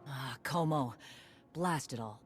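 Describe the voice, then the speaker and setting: A woman's voice: rumbling low, slow, soft background music. An actress playing a tough veteran female warrior clan leader, blunt and commanding. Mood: gruff.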